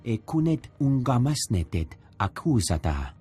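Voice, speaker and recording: An actor voicing a gruff male alien in a video game, talking in an invented tongue. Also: mid-range voice, big pitch swings, normal speed, mellow. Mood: agitated.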